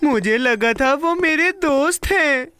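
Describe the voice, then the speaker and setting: Eager high-pitched little cartoon boy. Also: slow. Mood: puzzled, let down. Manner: childlike, expressive. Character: eager, dramatic little boy, easily disappointed. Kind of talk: children's cartoon dub acting. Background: music.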